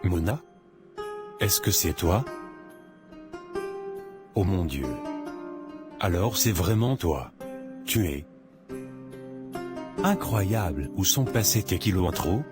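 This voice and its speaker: Man acting a low-voiced, insistent ex-husband. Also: expressive, slow, pauses often, loud music behind the voice. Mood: amazed, admiring. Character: smitten, pushy divorced man wanting his wife back.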